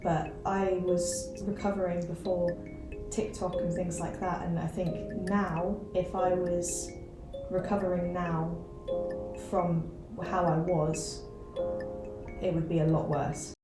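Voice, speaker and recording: A woman student speaks reflectively in a low, even voice. The room rings with echo, and loud mallet music plays underneath her. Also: slow. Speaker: student interviewee. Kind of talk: documentary interview.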